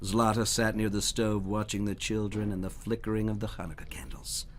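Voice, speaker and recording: A man reading storybooks aloud for children in a dramatic, expressive way, at times dropping to a hush. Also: fairly low pitch, a little fast, a little hiss or hum. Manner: dramatic, dropping to hushed near-whispers. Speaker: storybook reader.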